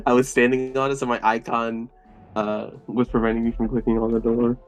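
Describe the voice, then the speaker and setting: A man's voice: medium pitch, level tone, moderate pace, faint music behind, rough recording. A man talking casually as a player in a tabletop game. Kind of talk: casual chat.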